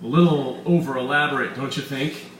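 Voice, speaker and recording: A man's voice: medium pitch, a little fast, a bit bright, natural voice. A brawny has-been ballplayer acted out by a man reading aloud huffs in flustered disbelief. He sounds uncomfortable and put upon. The recording is distant and roomy, with faint noise. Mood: incredulous. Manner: huffing, incredulous. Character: flustered muscle-bound male ex-ballplayer in discomfort. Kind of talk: live short-story reading.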